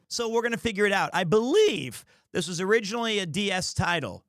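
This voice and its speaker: A man hosting a livestream, talking casually to his audience in a rambling, jokey, expressive way. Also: sits high, brisk, no room sound, studio quality. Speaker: livestreamer and host. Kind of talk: casual chat to a stream audience.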